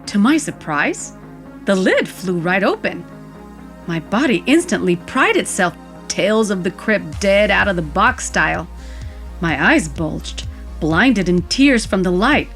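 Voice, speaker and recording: A woman's voice: medium pitch, expressive, measured pace, fairly bright tone, faint music behind. A woman narrating audio fiction in the first person, with a wistful, vivid storytelling manner.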